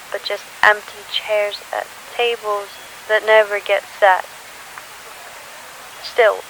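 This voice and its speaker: A slow, bright-voiced woman playing a sorrowful teenage survivor, recording an audio diary for a post-apocalyptic drama. The delivery is halting and solemn, with little pitch movement, under a faint hiss. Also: low-quality recording. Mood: quietly defiant. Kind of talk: scripted audio diary monologue. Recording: hissy recording with faint noise.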